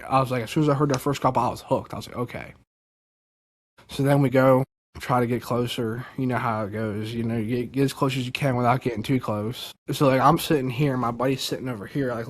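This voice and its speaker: A young man from Delaware, a podcast guest, telling a story casually and excitedly, with lots of "like" and "dude". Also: mid-range voice. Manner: animated, many fillers like "like" and "dude".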